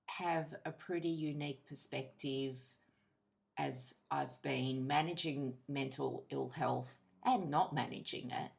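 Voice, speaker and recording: A woman in her fifties with an Australian accent, giving a candid, reflective personal monologue in measured short phrases. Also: rumbling low, creaky. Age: 50s. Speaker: podcast host.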